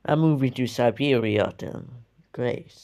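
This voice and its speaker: Slow-talking man giving casual reaction commentary. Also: medium pitch, a little mellow, clear, resonant tone. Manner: informal, sweary, halting.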